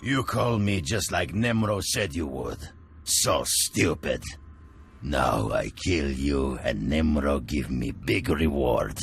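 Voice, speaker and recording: A man's voice: rumbling low, lively intonation, slow pace, a little hiss or hum, full character voice. An actor playing a dim, boastful thug who speaks broken English and mocks his target in a game cutscene. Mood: mocking.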